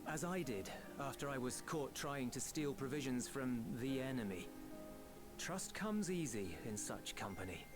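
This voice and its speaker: A man plays a young soldier in a fantasy game, thoughtful and loyal. His mid-range voice is measured and earnest, reflecting humbly over clearly audible music. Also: medium pitch, animated, natural voice. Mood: earnest, reflective. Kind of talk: video game voice acting.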